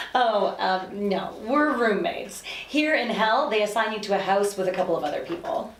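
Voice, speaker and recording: A woman's voice: mid-range voice, a little slow, far from the mic, close to an everyday voice. A woman acting a chatty, reassuring roommate in a TV script table read, friendly and placating but easily exasperated. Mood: friendly, explaining. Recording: echoey room, slightly off-mic.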